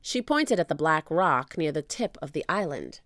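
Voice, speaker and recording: A woman reading a children's book aloud as an expressive storyteller. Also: sits low, normal speed, no echo, studio quality.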